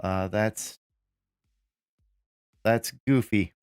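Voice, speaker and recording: A man with a raspy voice, still getting over a lost voice, giving casual live commentary on a game he is playing and thinking aloud as he goes. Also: on the low side, very slow, darkish tone, no echo, clean studio sound, faint music behind. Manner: rambling, thinking aloud with fillers and restarts.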